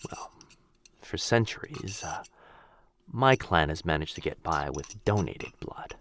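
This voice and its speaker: A man voicing a gentle, courtly male vampire lord in an ASMR boyfriend roleplay. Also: deep voice, expressive, measured pace, a little hiss or hum, heavily acted. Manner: soft-spoken, courtly and reassuring. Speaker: voice actor.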